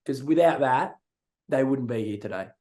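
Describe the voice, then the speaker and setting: Hoarse young Australian man, mellow voice. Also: mid-range voice, normal speed. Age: young adult. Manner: excited, rambling, laughs often. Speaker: podcast co-host. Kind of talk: casual chat.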